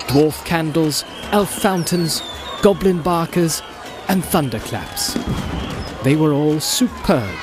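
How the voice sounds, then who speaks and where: A man reading a story as an audiobook narrator, theatrical and deliberate in his phrasing, in a dramatic storytelling style. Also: on the high side, background noise. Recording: home-made, music and crowd sound mixed in. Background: music, crowd and outdoor sound effects.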